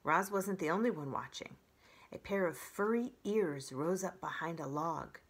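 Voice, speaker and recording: A woman in her forties reading a children's story aloud in an expressive narrating style. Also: on the low side, a little slow, breathy edge. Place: indoors by a brick fireplace.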